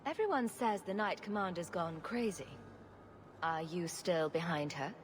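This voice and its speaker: An actress playing a confident, dry and sarcastic adventuring heroine in a fantasy game. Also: female voice, fairly low pitch, expressive, unhurried. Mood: questioning, direct. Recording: clear background noise.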